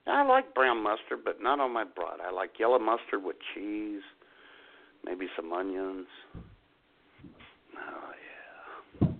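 A man's voice: lowish voice, big pitch swings, takes it slowly, gravelly, pauses often. A man co-hosting a radio show with droll, casual comedic banter. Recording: tinny phone-line sound.

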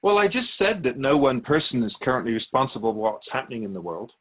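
A man playing a wise, wry otherworldly keeper of souls in a radio drama, speaking in a measured, musing, instructive way. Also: mid-range voice, lively intonation, breathy edge. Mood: grave, cautioning. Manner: measured, didactic, musing pauses. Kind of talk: radio drama dialogue in interview form. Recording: dull, lo-fi sound.

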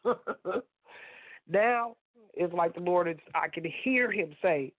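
A woman's voice: fairly low pitch, lively intonation, slow, dry recording. A woman co-hosting a faith talk radio show with a stuffy nose, testifying emphatically and talking straight to listeners. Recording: dry phone-line sound.

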